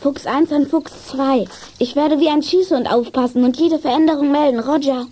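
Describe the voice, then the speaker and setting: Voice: high-pitched, steady tone, moderate pace, warm tone, a little hiss or hum. A performer playing an eager child lookout who reports dutifully over a walkie-talkie in a radio drama. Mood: dutiful, alert. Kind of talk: children's radio play acting.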